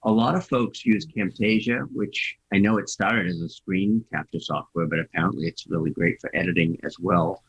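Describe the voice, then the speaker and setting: Gravelly low-voiced Boston man. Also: normal speed, few pauses, dull audio. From Boston area. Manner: chatty, laughs often, self-deprecating. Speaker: guest. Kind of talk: casual interview chat.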